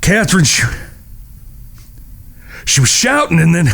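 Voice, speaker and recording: Man acting a husky, grief-worn cowboy. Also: high-pitched, very animated, fairly bright tone, exaggerated voice. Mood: numb grief. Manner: halting, folksy, sorrowful. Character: grief-stricken wounded Old West cowboy, devoted, broken. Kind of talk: audio drama acting.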